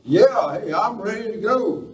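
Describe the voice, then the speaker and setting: A man's voice: sits high, expressive, a little slow. A man playing a folksy, easily flustered train engineer and family man in an amateur western radio play. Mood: folksy, gruff. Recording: roomy distant live recording with noise.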